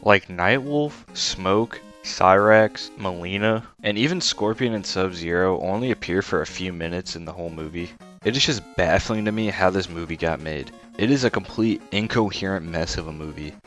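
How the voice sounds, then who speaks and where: Low voiced guy doing a scripted video review, blunt and informal, faint music under him. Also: normal speed, a bit bright. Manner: blunt, disparaging, informal with swearing. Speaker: video essay host and film critic.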